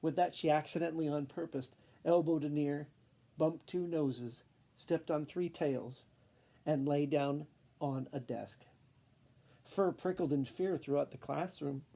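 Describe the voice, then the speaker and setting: A man reading a children's picture book aloud in an expressive storytelling style. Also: a little high, a little slow, creaky.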